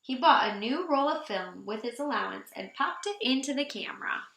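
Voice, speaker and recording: A woman reading a children's storybook aloud in an animated, expressive way for young listeners. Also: high voice, moderate pace, vocal fry, reverberant, a little hiss or hum.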